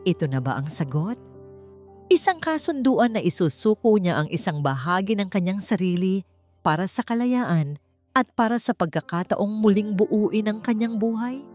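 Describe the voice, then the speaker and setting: A woman's voice: mid-range voice, expressive, normal speed, darkish tone. A woman with a Filipino accent reading a scripted romance story in a dramatic narrating style. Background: background music.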